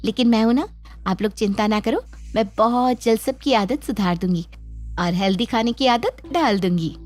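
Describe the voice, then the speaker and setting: A woman's voice: on the high side, moderate pace, a little mellow, natural voice. A woman voicing a young newlywed daughter-in-law in a children's story, airy, self-assured and condescending. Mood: smug lecturing. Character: young newlywed daughter-in-law, breezy, smug health-food know-it-all. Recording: background music under the voice.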